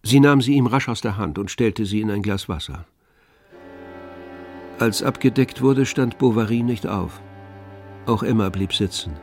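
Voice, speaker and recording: Gravelly male narrator in a dry studio. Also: fairly low pitch, darkish tone, no room sound, soft background music. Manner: measured, composed storytelling. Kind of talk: literary radio drama narration. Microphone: close, dry studio microphone.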